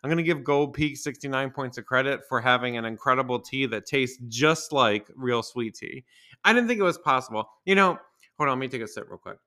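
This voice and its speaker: Host, male, 30s, stuffy with a head cold, crude rambling humor, mid-pitched. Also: fairly quick, pushed and bright. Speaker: podcast host. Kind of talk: casual solo podcast monologue.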